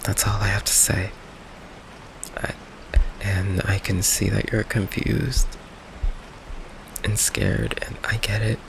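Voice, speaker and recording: Male actor for gentle goth vampire boyfriend, ASMR roleplay. Heavy vocal fry, unhurried, hesitant soft delivery, rain ambience. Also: on the low side, background noise. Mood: resigned, hurt. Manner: hesitant, halting, soft-spoken, apologetic. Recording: steady rain ambience behind the voice.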